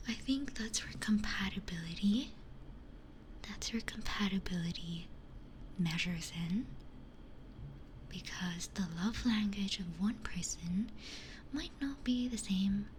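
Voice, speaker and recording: Whispering woman doing soft ASMR chat. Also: medium pitch. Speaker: ASMR streamer. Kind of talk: whispered ASMR casual chat with stream viewers. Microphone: close binaural ASMR microphone. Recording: faint hiss and ambient noise.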